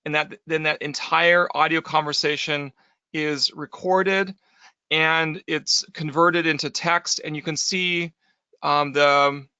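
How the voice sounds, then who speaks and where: Bright, clear-voiced man hosting a webinar. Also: a little high, no echo. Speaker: host. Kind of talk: webinar interview, scripted introduction then conversation. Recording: slightly dull, band-limited webinar audio.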